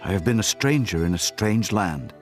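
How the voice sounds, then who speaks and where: A man's voice: on the low side, lively intonation, normal speed, faint music behind, close to an everyday voice. A man playing an earnest, humble Hebrew in dramatized scripture audio.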